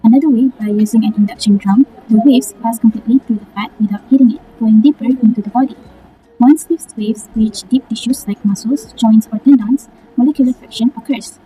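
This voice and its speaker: Very dark-voiced young woman, speaking fast. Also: fairly high pitch, soft background music, poor audio. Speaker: student presenter. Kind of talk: scripted technical class presentation.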